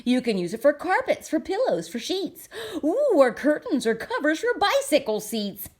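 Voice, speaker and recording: Woman playing an animated, boastful storybook salesman. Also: high-pitched, normal speed, voice pushed up. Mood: eager, boastful. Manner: boastful, brushing off objections. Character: eager, smug, dismissive male tradesman salesman.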